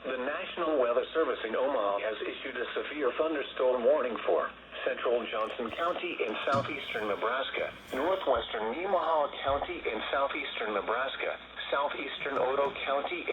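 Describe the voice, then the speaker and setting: A man's voice: mid-range voice, moderate pace. Synthetic male weather-alert voice over radio. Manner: flat, evenly paced, formal announcement. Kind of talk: automated weather alert reading. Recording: dull radio broadcast with static.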